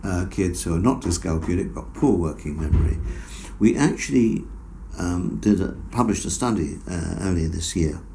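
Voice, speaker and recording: Male researcher guest, very low gravelly voice, dark tone, measured explainer with ums. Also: expressive, slight background noise. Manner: measured, explanatory, many fillers. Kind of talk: academic interview answers.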